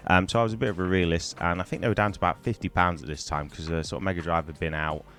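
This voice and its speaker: A middle-aged man from Wales, hoarse from a cold, chatting to camera in a rambling way on a poor-quality microphone. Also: low-pitched, steady tone, racing, a bit bright. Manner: rambling, chatty, many ums and restarts. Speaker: gaming YouTuber. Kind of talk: casual to-camera storytelling.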